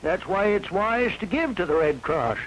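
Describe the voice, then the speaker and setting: A man's voice: high-pitched, sing-song, moderate pace, fairly bright tone, a little hiss or hum, poor audio. An actor playing an ordinary busy man being badgered in an acted radio spot. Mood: put-upon, agreeable.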